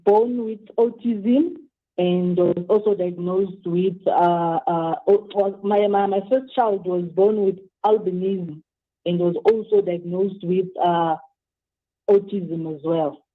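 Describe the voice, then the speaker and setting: A woman's voice: lowish voice, steady tone, takes it slowly. Hesitant woman on a tinny phone line. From Zimbabwe. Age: 30s. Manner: hesitant, restarts often. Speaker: guest. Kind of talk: phone-in interview, personal story. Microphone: telephone.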